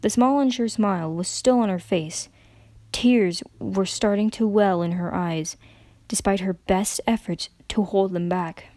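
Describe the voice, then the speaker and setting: A woman's voice: mid-range voice, a little slow, a little mellow, dry recording. A woman narrator giving a dramatic reading of a story.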